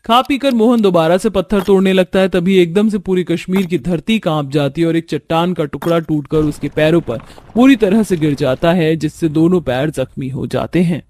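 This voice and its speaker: A man's voice: a little high, moderate pace, darkish tone. A man reading scripted narration for a moral story, in the role of storyteller.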